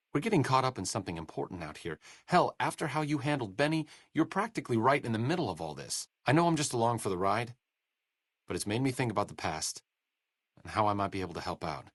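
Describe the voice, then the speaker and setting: A man's voice: fairly low pitch, no echo. An actor playing a young, learned male scientist companion in a game, with a dry, sardonic wit and educated phrasing. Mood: earnest. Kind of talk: studio game voice acting. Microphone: close studio mic.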